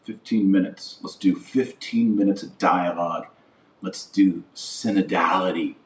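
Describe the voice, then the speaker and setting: A man's voice: deep voice, unhurried. A man hosting a religious podcast, delivering an impassioned opinion monologue in a blunt, emphatic, repetitive way. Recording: roomy echo, distant from mic.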